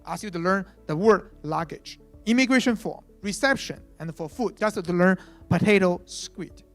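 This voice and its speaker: A man's voice: high-pitched, moderate pace, a bit bright, soft background music. A man whose first language is Chinese, giving a conference talk as chief product officer, speaking conversationally with many "uh" fillers and "right?" tags.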